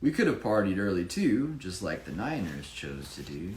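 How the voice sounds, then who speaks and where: A man reads a rhyming picture book aloud, his pitch moving in lively swings. He is emphatic and breaks off to explain things casually to a child. The room is echoey, with faint noise behind him. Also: normal speed. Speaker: children's author reading own book.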